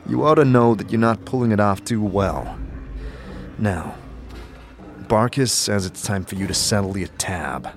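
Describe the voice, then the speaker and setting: A man's voice: fairly low pitch, animated, unhurried, long pauses. A man playing a firm, demanding adventurer in an audio drama, speaking in terse commands and questions.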